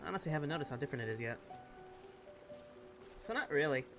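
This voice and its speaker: A woman comments casually as she plays a game. Her voice is extremely deep and she talks quickly, reacting with exclamations. Loud music plays behind her. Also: animated. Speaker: gamer.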